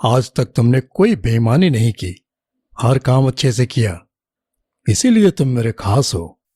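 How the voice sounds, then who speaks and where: Guy doing a cartoon storybook king, commanding n sly, soft dark husky voice, really animated. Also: mid-range voice, unhurried, dry recording, clean studio sound. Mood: approving. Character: male king, approving yet slyly testing his minister. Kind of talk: animated children's moral story dialogue.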